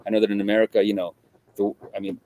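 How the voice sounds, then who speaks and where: A middle-aged man from Russia, a guest in an interview, talking in a halting way with many restarts and repeated words. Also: lowish voice, little pitch movement, mellow, a little hiss or hum. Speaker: guest journalist. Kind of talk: conversational interview.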